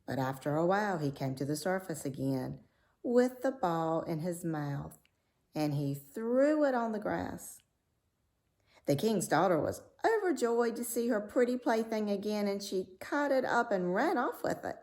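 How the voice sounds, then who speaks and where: A woman reading a fairy tale aloud as a storyteller, with measured, dramatic delivery. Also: medium pitch, lively intonation, a little mellow.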